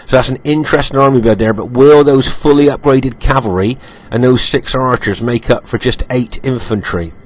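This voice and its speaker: A man with a slightly croaky voice, still getting over an illness, narrates a strategy game replay from home. He is unhurried and filler-heavy, and he often repeats points before moving on. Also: mid-range voice. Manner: unhurried, many ums and uhs, repeats points. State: croaky voice while recovering from illness. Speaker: game commentator. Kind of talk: gameplay commentary over footage.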